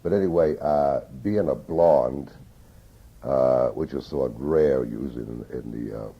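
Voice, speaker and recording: An older man reminisces about his youth for an oral history interview, pausing often to find his words. His voice sits very low, rough and gravelly with a husky edge. The sound is dull and muffled, like an old interview tape. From Newton, Massachusetts. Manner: slow, reflective, with many hesitations.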